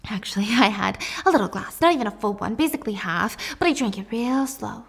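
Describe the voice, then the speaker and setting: A woman's voice: on the high side, lively intonation, brisk, vocal fry, breathy edge, full character voice. A woman voicing a mean, foul-mouthed mother with an explosive, taunting manner in a dramatic story retelling. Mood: defensive, wheedling. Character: ranting, cruel, money-hungry alcoholic mother, volatile, mocking.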